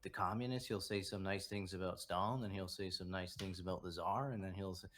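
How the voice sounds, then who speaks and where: A man's voice: low-pitched, steady tone, a little fast, fairly bright tone, a little hiss or hum. A man hosting a casual stream chat, asking questions and rambling with lots of "like" and "right?". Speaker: stream host.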